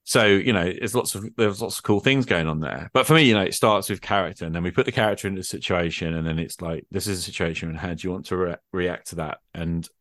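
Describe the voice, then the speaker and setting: A man's voice: low-pitched, fast talker, few pauses, a bit bright, no room sound, clean studio sound. A man in a casual discussion about gaming, thinking aloud informally and often dropping in small conversational asides. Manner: informal, thinking aloud, peppered with "you know" and "like". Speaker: tabletop role-playing gamer in discussion.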